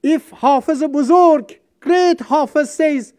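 A woman from Iran, giving a seminar speech in an auditorium in halting English, speaking emphatically and reciting verse. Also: high-pitched, darkish tone. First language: Persian.

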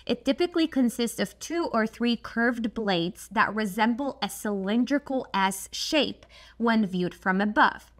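A woman, hosting an energy channel and talking to camera in a chatty, expressive way, seated at a desk in a dim studio. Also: a little high, measured pace. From Poland. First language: Polish. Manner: chatty, expressive, frequent asides and "like". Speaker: host of a solar energy channel. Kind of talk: explainer talk to camera. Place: dim studio with a shelf of glowing props.

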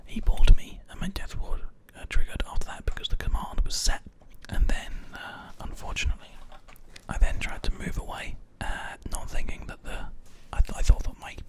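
Hushed ASMR whisperer commentating on a game. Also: moderate pace. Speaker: gaming host and player. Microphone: close binaural microphone, ear to ear.

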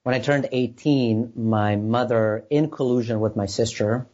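A man's voice: mid-range voice, a little slow, darkish tone. A man from Serbia with a Serbian accent, a podcast guest speaking in an upbeat, earnest way. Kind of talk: casual podcast interview. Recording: dull lo-fi podcast audio.

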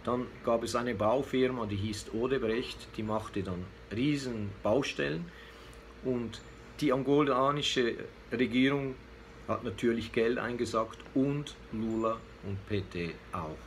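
A man's voice: medium pitch, unhurried. A man in his fifties giving unscripted political commentary to camera, hesitant and searching for words. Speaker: vlogger giving a weekly news commentary. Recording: clear background noise, room ambience.

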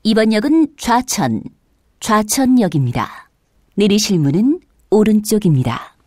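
A woman's voice: mid-range voice, very animated, a little slow, warm tone, slightly rough, no echo, studio quality. A woman reading scripted subway station announcements in a formal, carefully enunciated manner. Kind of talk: scripted public transit announcement.

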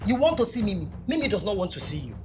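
A young woman with a Nigerian accent acting a loyal friend who scolds and switches to loud Pidgin. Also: medium pitch, sing-song, very fast, noisy background, close to an everyday voice. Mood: angry. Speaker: protective friend. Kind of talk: skit drama acting.

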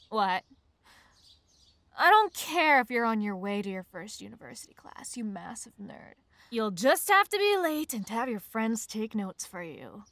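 Woman playing a slow-talking, teasing college bully girl. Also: on the high side, fairly bright tone, dry recording, full character voice. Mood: mocking. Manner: slow, taunting, breathy teasing delivery. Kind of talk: ASMR roleplay.

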